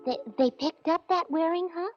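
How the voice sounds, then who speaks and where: A woman's voice: very high voice, normal speed, dry recording, soft background music. A woman playing a naive, chirpy young secretary as comic relief in 1940s radio drama. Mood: chirpy, curious. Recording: dull vintage radio recording.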